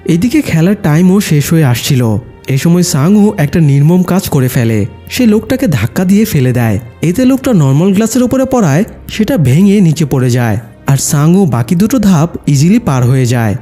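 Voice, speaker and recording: Recap narrator: male, mellow and slightly husky, scripted storytelling over a music bed. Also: a little high, sing-song, normal speed. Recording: music behind the voice. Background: background music.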